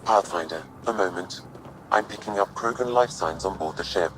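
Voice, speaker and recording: Low-pitched, measured AI adviser. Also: male voice, fairly bright tone, slight background noise, rough recording. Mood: measured urgency. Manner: measured, precise, formal. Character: calm, measured male artificial intelligence adviser. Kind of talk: video game cutscene acting.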